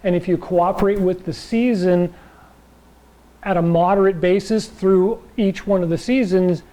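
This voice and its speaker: A man teaching a chi gung and tai chi class in a loose, rambling way, with a slightly raspy voice and frequent check-ins with his listeners. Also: sits high, steady tone, unhurried, mellow, low-quality recording. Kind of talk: casual class talk.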